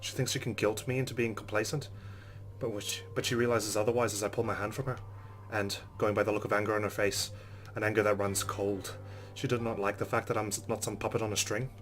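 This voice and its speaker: A man's voice: on the low side, little pitch movement, quick pace, faint music behind. A man hosting a let's play, reading visual novel narration aloud and adding casual asides. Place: recorded voice-over with game music behind.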